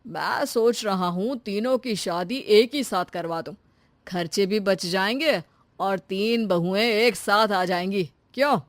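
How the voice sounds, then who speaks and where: A woman's voice: medium pitch, measured pace, no echo, natural voice. Woman playing a practical, dismissive husband. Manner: reasoning, dismissive of worries. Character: calm, practical husband and father of three sons.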